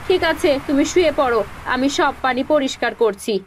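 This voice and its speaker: A woman's voice: high-pitched, expressive, a little fast, slight background noise. A woman playing a worried, nagging poor village wife in a cartoon, with an anxious, complaining manner. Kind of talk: cartoon dialogue acting.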